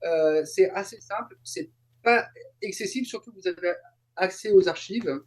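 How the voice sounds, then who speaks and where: Male guest, notably high voice, casual explanatory chat with emphatic repetition. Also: normal speed. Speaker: interview guest, translator and YouTuber.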